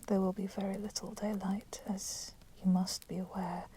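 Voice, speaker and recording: A woman playing a coolly menacing, possessive female vampire in a whispered ASMR roleplay, with soft, breathy delivery and formal, deliberate phrasing. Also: mid-range voice, lightly acted. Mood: controlled, possessive. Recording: steady background noise, crackling fire sound. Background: crackling fire.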